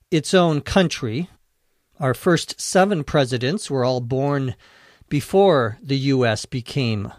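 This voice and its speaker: A man hosting an English-learning podcast, speaking slowly and deliberately, spelling out words letter by letter and slipping in dry jokes. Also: medium pitch, no room sound. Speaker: English teacher and podcast host. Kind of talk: slow, clear ESL podcast explanation.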